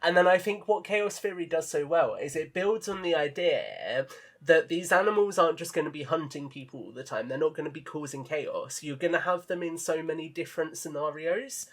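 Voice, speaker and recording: A man with a slightly high-pitched voice talks as a podcast guest. His delivery is casual and thoughtful, as he explains his opinions in a conversational way. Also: fairly quick. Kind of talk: casual discussion.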